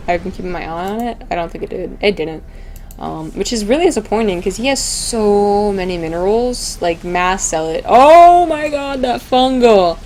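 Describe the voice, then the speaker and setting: Girl casting an esports match, chatty n excitable, slangy, voice kinda worn out, medium pitch, bit of background noise. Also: moderate pace. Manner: gushing, excitable, slangy and informal. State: voice tiring, starting to go. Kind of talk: live video game commentary, casual and chatty.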